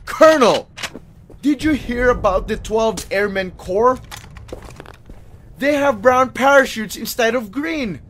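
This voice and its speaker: A man's voice: very high-pitched, lively intonation, slow, long pauses, fairly bright tone, slight background noise, full character voice. A man playing a petty, self-righteous, whiny army private in an audio drama, fussy and indignant. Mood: indignant, smug. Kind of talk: radio drama acting.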